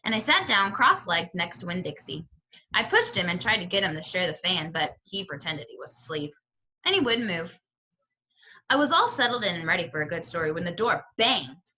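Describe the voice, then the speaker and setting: A woman reading a children's novel aloud like a teacher, with animated, expressive storytelling. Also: medium pitch, poor audio. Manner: animated storytelling with pauses to question listeners.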